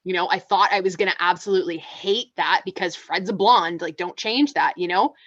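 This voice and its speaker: A woman co-hosting a casual panel discussion, chatty and full of fillers and restarts, with a low voice she calls masculine. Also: fairly quick, fairly bright tone, no room sound, dull audio. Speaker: podcast co-host.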